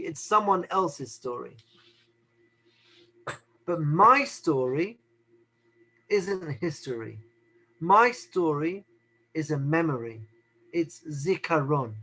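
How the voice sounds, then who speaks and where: Middle-aged male teacher, high-pitched, deliberate, repetitive, asks rhetorical questions. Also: sharp tone, low-quality recording. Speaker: Torah teacher. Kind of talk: teaching talk on the Haggadah.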